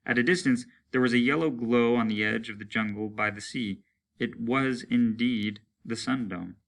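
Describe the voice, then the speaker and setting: A man's voice: mid-range voice, moderate pace, gravelly. A man reading a story aloud as a teacher would, in a dramatic narrative style.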